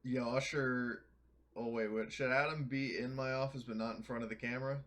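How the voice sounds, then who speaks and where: A man's voice: mid-range voice, fairly even intonation, brisk, a bit bright. A man chatting casually as a player in a tabletop roleplaying game.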